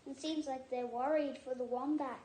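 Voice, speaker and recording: A woman reading a picture book aloud in a halting, deliberate way, stopping to add personal asides. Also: sits high, steady tone, warm tone. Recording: echoey room with faint noise.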